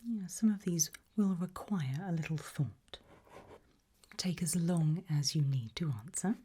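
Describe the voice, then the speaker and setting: Woman doing asmr questionnaire, low soft whisper, super breathy, kinda creepy and deliberate. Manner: slow, deliberate, gently ominous interviewer. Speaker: ASMR roleplay host.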